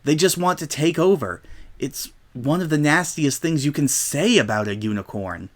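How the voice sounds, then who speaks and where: A man's voice: a little high, lively intonation, normal speed. A man voicing an earnest, bookish young female unicorn who explains things patiently in a storybook reading. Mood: earnest, explanatory.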